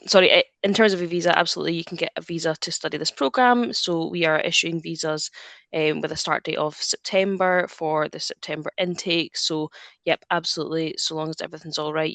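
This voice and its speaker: Fast, gravelly-edged woman adviser. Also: deep voice, a bit bright, dry recording. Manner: brisk and reassuring, says absolutely often. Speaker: admissions adviser. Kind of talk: webinar Q&A answer.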